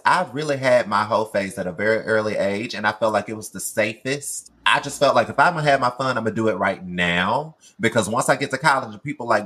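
A man's voice: mid-range voice, bright, forceful tone. A man in his late twenties co-hosting a podcast, chatting bluntly and animatedly, cursing freely. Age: 20s. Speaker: podcast co-host. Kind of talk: casual chat.

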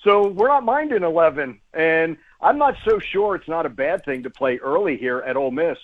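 A man's voice: a little high, expressive, moderate pace. A man who works as a sports broadcaster, giving conversational analysis as a radio guest over the phone, emphatically repeating phrases. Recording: tinny, phone-like guest line.

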